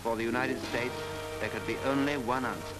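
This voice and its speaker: A man delivers scripted 1940s newsreel narration with solemn, rhetorical weight. His mid-pitched voice moves in deliberate phrases over prominent music, with the sound of an archival film soundtrack. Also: fairly even intonation, low-quality recording.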